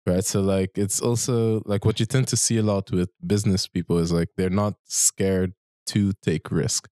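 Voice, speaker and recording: A man's voice: deep voice, flat delivery, moderate pace, mellow, no room sound. A man in his twenties hosting a casual conversation, informally reflecting and tagging his points with "right?", speaking into a handheld microphone. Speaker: talk show host. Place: small barbershop, indoors.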